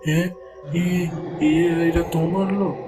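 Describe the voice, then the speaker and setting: A man voicing a village husband in a cartoon, an excitable fellow who exclaims and repeats himself. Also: a little high, unhurried, lightly acted. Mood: frightened, pleading. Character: anxious, pleading village milkman and husband. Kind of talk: cartoon voice acting. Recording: music clearly behind the voice.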